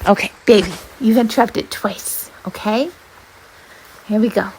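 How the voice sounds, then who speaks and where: A woman reading aloud as a volunteer storyteller, with an expressive, dramatic delivery. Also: medium pitch, unhurried, a little hiss or hum, rough recording. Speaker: volunteer children's book reader.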